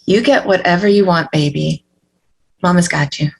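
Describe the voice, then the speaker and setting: Brash hard-drinking mother played by a woman, husky and slightly low, foul-mouthed, doting, defensive. Table read drama. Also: moderate pace.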